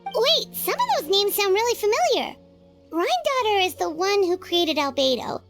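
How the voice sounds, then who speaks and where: A woman voicing a tiny, childlike fairy girl companion in a video game, squeaky, excitable and curious. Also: moderate pace, faint music behind, heavily acted. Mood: pondering. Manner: childlike, inquisitive, refers to self by name. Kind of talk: scripted video game voice acting.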